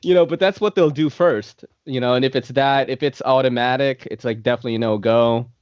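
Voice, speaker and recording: A man in his late thirties hosts a loose podcast chat, easygoing and quick to laugh, his speech full of "like" and "man". The recording is dry and a little dull, lo-fi with no room sound. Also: mid-range voice, a little mellow, smooth, no room sound. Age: 30s. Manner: laid-back, laughs often, says "like" and "man" a lot. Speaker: podcast host. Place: New York City.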